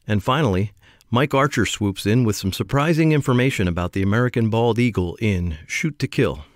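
A man's voice: fairly low pitch, animated, a little fast, dry recording, clean studio sound. A man hosting a podcast, reading a scripted introduction in a playful way. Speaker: podcast host.

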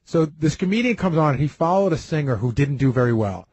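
A man's voice: on the high side, expressive, fast talker, darkish tone, slight background noise. A man chatting casually on a radio talk panel, telling anecdotes in a blunt, profane way.

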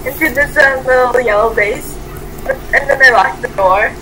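A woman's voice: high voice, normal speed. A woman chatting casually while gaming and joining an improvised role-play. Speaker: gaming video player and role-play partner. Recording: very noisy game-play voice recording.

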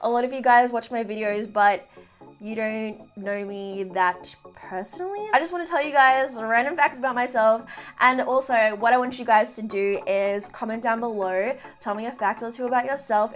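A woman's voice: fairly high pitch, normal speed, a bit bright. A young woman in her twenties chatting casually to camera in a bedroom, bubbly and full of fillers. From half Filipino. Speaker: beauty vlogger. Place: indoor bedroom with fairy lights.

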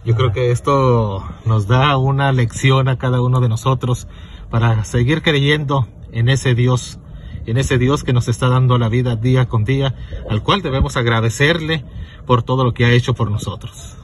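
A man's voice: mid-range voice, fairly even intonation, moderate pace, slight background noise. A man interviewing a preacher for a live transmission, laughing easily and speaking in a devout, easygoing way. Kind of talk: broadcast interview hosting.

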